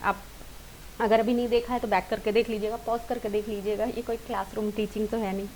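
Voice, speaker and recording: A woman's voice: mid-range voice, level tone, fast talker, a little mellow, slight background noise, low-quality recording. A woman with an Indian accent giving an informal singing lesson online, explaining directly to viewers and mixing in English terms. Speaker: singing teacher.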